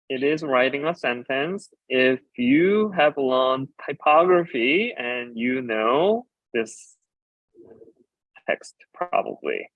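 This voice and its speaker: A man whose first language is Korean gives a conference talk as a designer and ceramicist, explaining his slides with frequent filler pauses. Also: mid-range voice, no echo, phone-quality sound. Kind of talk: conference presentation about slides.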